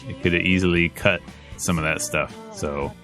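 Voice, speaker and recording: Male playthrough host, deep register, off-the-cuff and halting, soft music underneath. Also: fairly even intonation. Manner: rambling, hesitant. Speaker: host of a game playthrough series. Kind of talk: casual spoken commentary.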